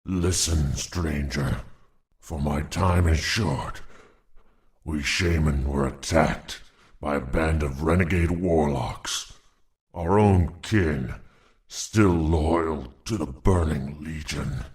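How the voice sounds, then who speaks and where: A dying orc shaman from a fantasy game, extremely low in pitch. He speaks slowly and solemnly, with grave ceremony and honor. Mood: grave, urgent. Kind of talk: video game voice acting.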